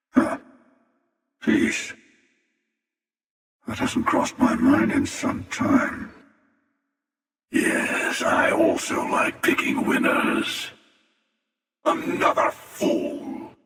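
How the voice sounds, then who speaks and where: An actor playing a cynical, confident older space villain in a video game, wry and taunting, with a gravelly voice. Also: male voice, very high-pitched, a bit bright, exaggerated voice. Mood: musing, wry. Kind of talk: video game character voice lines. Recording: very echoey hall reverb, distant.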